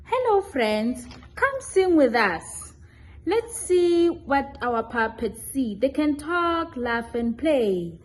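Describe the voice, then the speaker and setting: A woman's voice: sits high, very animated, takes it slowly, poor audio. A young adult woman giving scripted narration for a children's puppet show.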